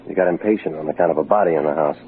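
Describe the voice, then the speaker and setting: An actor playing a hard-boiled private detective in a 1940s radio drama, clipped, slangy and sardonic. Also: male voice, extremely low pitch, rapid-fire, slight background noise. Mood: tough, sardonic. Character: wisecracking hard-boiled private detective, brash, world-weary man. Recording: muffled 1940s radio broadcast with music.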